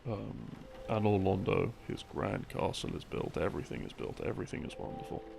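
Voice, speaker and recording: A man telling a rambling story as a sleep aid, in a halting, musing way with pauses, in the role of a boyfriend explaining things. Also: low voice, lively intonation, noisy background. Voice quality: creaky, gravelly. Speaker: audio roleplay creator playing a boyfriend. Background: background music.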